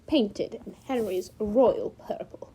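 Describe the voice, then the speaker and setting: A woman's voice: mid-range voice, takes it slowly, mellow, slightly rough, low-quality recording. A woman reading scripted story narration as a student volunteer in readers theater.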